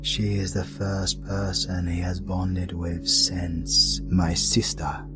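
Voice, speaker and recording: An actor playing a cold, scheming dark deity in a fantasy audio drama, condescending and menacing, with drawn-out deliberate delivery. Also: male voice, low-pitched, completely flat delivery, over loud music. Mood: calculating, menacing.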